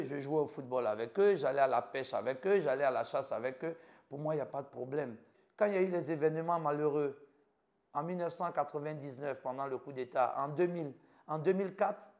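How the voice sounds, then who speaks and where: Gravelly middle-aged Ivorian man. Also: on the high side, darkish tone. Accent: Ivorian French. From Côte d'Ivoire. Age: 50s. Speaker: doctor interviewed about his life. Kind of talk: personal account in an interview.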